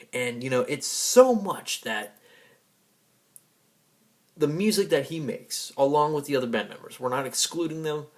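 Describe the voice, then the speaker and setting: A man hosting a video blog, speaking unscripted and earnestly in a halting way, often repeating himself. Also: medium pitch. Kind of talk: unscripted personal fan tribute monologue.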